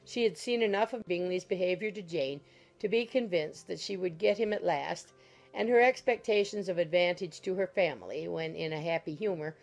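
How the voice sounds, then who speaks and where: A woman's voice: fairly low pitch, a little mellow. A woman reading scripted literary narration for a dramatized audiobook.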